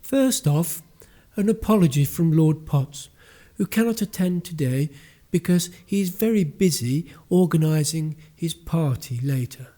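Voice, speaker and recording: A man's voice: fairly high pitch, animated, a little slow, mellow. A man playing a fussy, easily flustered village vicar in a radio drama. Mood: officious.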